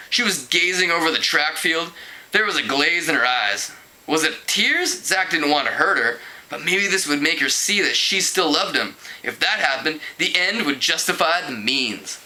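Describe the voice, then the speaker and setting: Bright, forceful man reading a story aloud. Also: a little high, lively intonation, normal speed, low-quality recording. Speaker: amateur book reader. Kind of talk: expressive storybook read-aloud.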